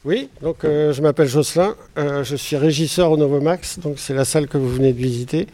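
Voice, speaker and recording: Male venue stage manager, mellow tone, tour guide. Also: fairly high pitch, a little fast. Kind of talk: explaining on a tour.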